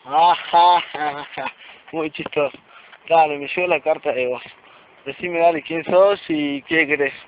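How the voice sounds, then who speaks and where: A man's voice: on the high side, fairly even intonation, slow. An amateur man actor playing a suspicious man seeking the truth about his brother, with an Argentine accent, in a radio play. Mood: incredulous, demanding. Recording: noisy, muffled amateur radio drama recording.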